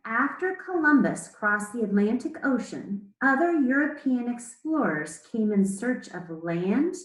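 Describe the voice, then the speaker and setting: A woman speaking as an elementary school teacher, reading aloud to children in a measured, explanatory way with pauses between phrases. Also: mid-range voice, reverberant, low-quality recording. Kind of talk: read-aloud lesson to children.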